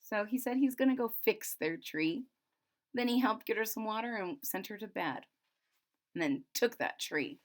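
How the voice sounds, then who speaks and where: A woman's voice: mid-range voice, expressive, moderate pace. A woman reading a children's story aloud expressively, with simple casual asides explaining it as if to young children. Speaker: storybook reader.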